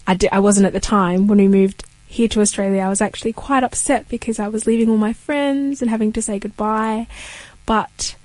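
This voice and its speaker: A woman's voice: mid-range voice, fairly even intonation, a little fast, no echo. Earnest young woman sharing her testimony. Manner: earnest, reflective, soft-spoken. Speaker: guest sharing her faith story. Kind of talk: personal testimony in an interview.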